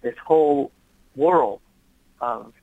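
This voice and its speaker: A man's voice: medium pitch, slow, no room sound. Male cantor from Israel, friendly storyteller, dry muffled phone sound. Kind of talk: casual radio interview. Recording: dull lo-fi phone line.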